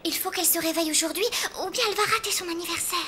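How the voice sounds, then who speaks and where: A woman's voice: very high-pitched, fairly even intonation, rapid-fire, over music. A woman voicing a young, earnest and insistent animal girl in a French children's cartoon. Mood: worried. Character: little girl animal twins, young hospital patients, plaintive and stubborn.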